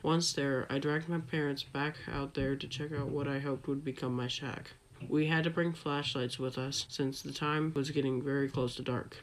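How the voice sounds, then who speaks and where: A performer voicing an excitable, sarcastic young boy adventurer, earnestly telling a scripted audio drama story. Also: mid-range voice, moderate pace, close to an everyday voice, low-quality recording. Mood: excited.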